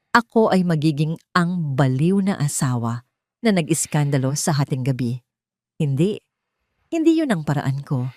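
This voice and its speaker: A woman telling a first-person drama story in a hushed, tense, suspenseful way. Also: sits low, no room sound. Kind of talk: first-person scripted narration.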